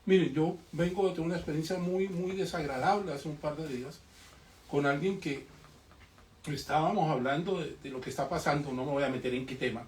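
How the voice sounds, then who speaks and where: A man's voice: on the high side, fairly quick. A man from the audience making an emphatic, storytelling comment, full of rhetorical questions, at a bookshop event. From Colombia. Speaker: audience member. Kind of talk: spontaneous public comment. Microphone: distant room mic. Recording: echoey room, voice far from mic, faint noise.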